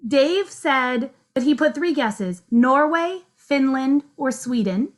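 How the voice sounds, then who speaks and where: A young woman hosting a virtual conference session, moderating questions in a friendly, relaxed way from an office. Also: high voice, slow pace. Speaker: event host, moderator. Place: office, indoors. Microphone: video call.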